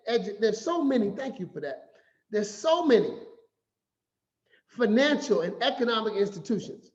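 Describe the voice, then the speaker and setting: A man giving a finance talk as an animated, playful storyteller who acts out quotes. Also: high voice. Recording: echoey room, slightly dull sound.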